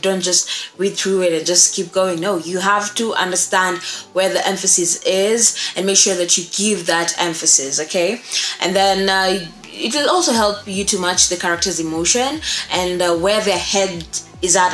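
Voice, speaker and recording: A young woman presents a lesson in a conversational, emphatic way, with a mid-pitched voice. The room adds a clear echo around her words, and faint music plays underneath. She repeats key words and keeps checking in with the listener. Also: a bit bright. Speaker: voice-over course presenter, voice actor. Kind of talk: informal instructional talk to camera. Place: small room at home, indoors.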